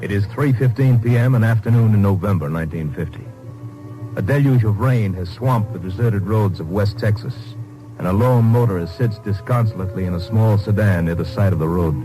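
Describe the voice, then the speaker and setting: A man's voice: lowish voice, a little fast, natural voice, low-quality recording. A man giving dramatic scripted narration in the manner of a 1950s radio serial. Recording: old radio recording with music.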